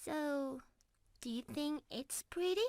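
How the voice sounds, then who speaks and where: A woman's voice: high-pitched, takes it slowly, darkish tone, dry recording. A woman playing an affectionate, playful young mermaid in love, talking tenderly and giggly to the listener in an ASMR roleplay. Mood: sweet, proud. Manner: sweet, giggly, tender, addressing the listener directly. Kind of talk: ASMR roleplay, scripted intimate acting.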